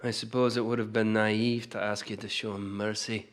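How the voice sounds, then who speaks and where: Man acting a grave, low-voiced aging soldier. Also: little pitch movement, normal speed, natural voice. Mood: regretful. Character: stern, honor-bound aging soldier, regretful and grave. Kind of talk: fantasy audio drama acting.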